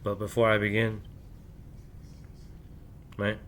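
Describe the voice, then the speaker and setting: Rambling low-voiced man talking late at night. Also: completely flat delivery, slow pace, a bit bright, a little hiss or hum. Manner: rambling, repeats "right?" often; keeps voice down while family sleeps. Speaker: channel host. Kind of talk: late-night monologue to camera. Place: his home at night.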